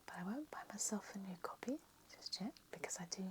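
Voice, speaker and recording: A woman whispering a slow, soft ASMR lecture roleplay, her voice slightly croaky. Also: mid-range voice, animated.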